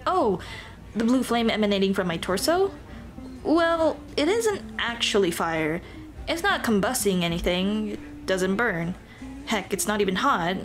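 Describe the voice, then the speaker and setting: A woman voicing a cheerful headless Dullahan on a date in a scripted ASMR roleplay, chatting playfully and directly to the listener. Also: on the high side. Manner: playful, animated, punning, speaking directly to the listener.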